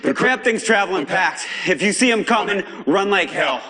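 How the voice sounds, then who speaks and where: A man's voice: high-pitched, lively intonation, moderate pace, pushed and bright. A man with an American accent voicing a fiery, angry and defiant underground broadcaster in a video game, speaking in an emphatic, streetwise, preachy way. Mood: suspicious, indignant. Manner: emphatic, streetwise, preachy, swings between outrage and gravity. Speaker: pirate broadcaster. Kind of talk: video game voice acting, pirate broadcast monologue.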